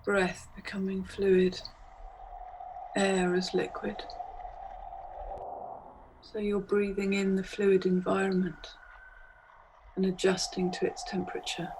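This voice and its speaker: Slow, even-toned woman guiding a meditation. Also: mid-range voice, low-quality recording. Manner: unhurried, hypnotic delivery in short phrases with long pauses. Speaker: meditation guide. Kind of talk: guided meditation narration. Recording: clearly audible background noise, ambient soundscape. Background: ambient soundscape.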